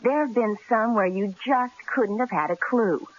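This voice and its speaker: An actress playing a pert, inquisitive newspaper secretary in an old-time radio drama, arch and playful. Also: female voice, fairly high pitch, moderate pace, close to an everyday voice. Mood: curious, probing. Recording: dull, muffled old radio broadcast recording.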